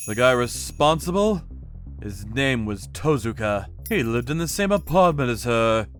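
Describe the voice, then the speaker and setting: A man's voice: mid-range voice, very animated, unhurried, faint music behind. A man voicing a grim, coolly menacing vigilante torturer, narrating his revenge work in a hard-edged, dramatic manga style. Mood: grim, resolute.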